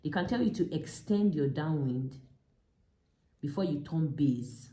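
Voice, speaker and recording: A woman's voice: low voice, lively intonation, a little slow, mellow. A Nigerian woman with a Nigerian accent giving an informal, step-by-step lesson as an instructor, repeating key terms as she explains. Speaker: pilot and aviation instructor. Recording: echoey, reverberant room.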